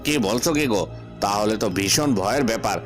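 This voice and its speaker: A man voicing a gruff, scolding prison guard who questions people in a cartoon. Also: lowish voice, fast, natural voice. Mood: wary, puzzled. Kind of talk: cartoon dialogue. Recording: music behind the voice.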